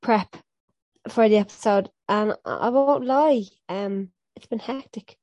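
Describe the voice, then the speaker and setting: A woman's voice: mid-range voice, a little slow, a little mellow, no echo, dull audio. A young woman co-hosting a podcast with a stuffy, blocked nose, chatting in a rambling way full of "like" and "um". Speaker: podcast co-host. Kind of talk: casual chat.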